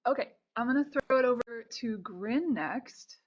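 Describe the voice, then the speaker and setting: A woman's voice: a little high, lively intonation, a little slow. A woman casually hosting a group video call with encouraging remarks. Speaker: host. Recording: slightly dull video-call audio.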